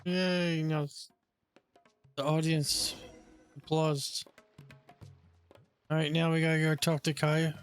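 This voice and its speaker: Male gamer, casual short commentary, slightly high pitch, little intonation, game music in background. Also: little pitch movement, slow, pauses often. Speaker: gameplay video host.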